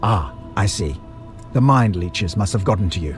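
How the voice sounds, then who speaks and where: Man playing a low-voiced, theatrical maniacal butcher villain. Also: expressive, normal speed. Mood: condescending. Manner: theatrical, condescending. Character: maniacal mad butcher, gleeful and condescending villain. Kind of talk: audio drama acting. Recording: music under the voice.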